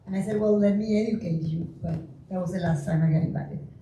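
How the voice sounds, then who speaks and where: Venezuelan-accented woman with a mellow voice. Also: lowish voice, fast. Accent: Venezuelan Spanish. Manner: earnest, telling a personal story. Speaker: audience member. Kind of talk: audience question. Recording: echoey hall.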